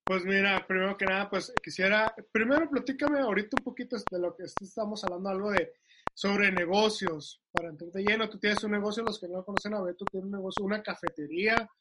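A man hosting an interview podcast over a video call, talking in a friendly, relaxed, slangy way. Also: high voice, brisk. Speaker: podcast host. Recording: loud background hiss on a video call.

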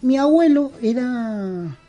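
A man's voice: extremely high pitch, lively intonation, slow pace, dark tone, muffled recording, faint music behind. A middle-aged man from Peru with a Peruvian Spanish accent, a guest chatting in a casual interview, rambling with many fillers and restarts. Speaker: guest, costume and prop maker.

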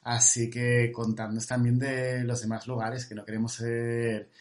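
A young man from Asturias co-hosting a podcast, relaxed and playful, with campy asides. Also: medium pitch, measured pace, crowd noise. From Asturias, Spain. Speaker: podcast co-host. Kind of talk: casual podcast chat.

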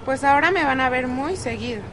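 A woman's voice: sits high, fairly quick, noisy background, lightly acted. An actress playing a curious, easygoing teenage girl with a Mexican accent in a radio soap opera. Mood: friendly.

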